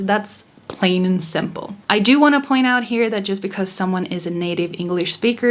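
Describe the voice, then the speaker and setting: A young woman from Sweden with a Swedish accent talks frankly and casually as a vlogger giving writing advice, laughing often and swearing now and then. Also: fairly low pitch.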